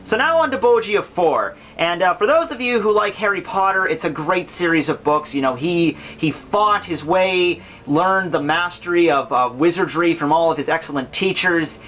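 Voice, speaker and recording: A man's voice: high-pitched, normal speed, few pauses, a bit bright, a little hiss or hum, low-quality recording. A man giving casual, rambling commentary on a classic book as host, informal and slangy, with profanity and joking asides.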